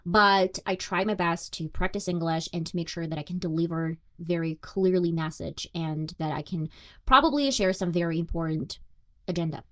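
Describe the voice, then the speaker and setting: A young woman with a Russian accent, her native language being Russian, hosting her own podcast and speaking candidly and reflectively in a self-deprecating way. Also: on the low side. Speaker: podcast host. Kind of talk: solo podcast monologue.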